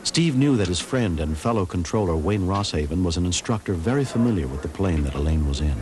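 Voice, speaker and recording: A man's voice: deep voice, lively intonation, fairly quick, background noise. A man narrating a documentary in a scripted, dramatic style. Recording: music behind the voice. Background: music.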